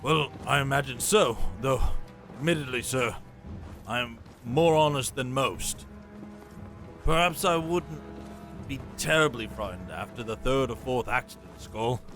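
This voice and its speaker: A man's voice: mid-range voice, a little slow, fairly bright tone, soft background music. A man playing a dutiful, dryly frank sergeant in a fantasy audio drama, with formal military address. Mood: dry, respectful.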